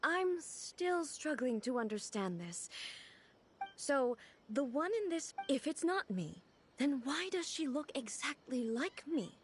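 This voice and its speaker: High-voiced, contemplative anime goddess. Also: female voice, sing-song, slight background noise, heavily acted. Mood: puzzled. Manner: formal, thoughtful, measured wording. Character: composed, contemplative female deity, earnest and puzzled. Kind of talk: anime video game voice acting. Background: music.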